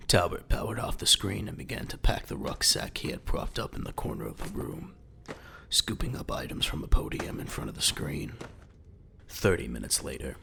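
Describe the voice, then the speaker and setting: A man narrates a scripted audio drama in an extremely low voice, thick with creaky gravel. His intonation swings expressively, and clear background noise and ambience sit behind him. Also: pushed and bright.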